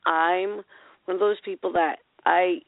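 Raspy excited woman on a phone line. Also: lowish voice, unhurried, no echo. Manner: excited, rambling. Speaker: caller. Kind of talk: casual call-in chat. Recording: tinny phone line, dry.

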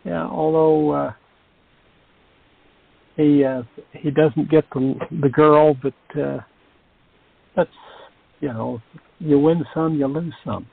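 Male interviewee, hesitant casual talk, creaky voice, narrow phone audio. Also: fairly high pitch, steady tone, no room sound. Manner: halting, searching for words. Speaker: guest, amateur radio play actor. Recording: dry, tinny phone line.

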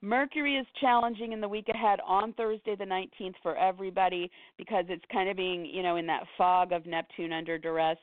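A woman astrologer runs through the week's signs in a hoarse voice, fading as she goes. She strings long lists together with ums and brief laughs. The sound is dry and thin, like a phone line. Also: mid-range voice, fairly even intonation, dry recording. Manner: rapid listing, with fillers and short laughs. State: hoarse, losing her voice. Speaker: astrologer host. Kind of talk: weekly horoscope talk.

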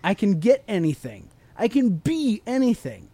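A man's voice: sits high, huge pitch swings, moderate pace, warm tone, husky, dry recording. A man hosting a podcast, teaching motivational ideas in a conversational, explanatory way and putting questions to the listener. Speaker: podcast host.

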